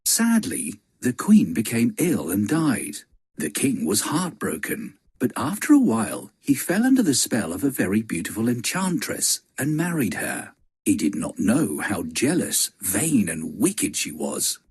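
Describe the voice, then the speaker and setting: Raspy, animated male storyteller. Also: medium pitch, a little slow. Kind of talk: scripted children's fairy tale narration.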